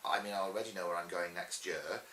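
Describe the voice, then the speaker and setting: A middle-aged man with a Welsh accent, chatting casually as an interviewed stage performer and telling stories in a lively, chatty way. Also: deep voice, fast. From Swansea, Wales. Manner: chatty, animated retelling with acted-out quotes. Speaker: pantomime dame and cabaret performer being interviewed. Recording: roomy echo with faint hiss.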